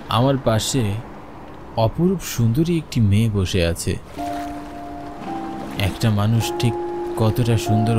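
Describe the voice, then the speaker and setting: A man playing an earnest young peddler who narrates a ghostly cartoon horror story in an expressive, dramatic way. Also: lowish voice. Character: earnest young male cloth peddler narrating his ghostly ferry journey. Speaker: first-person narrator. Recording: music behind the voice.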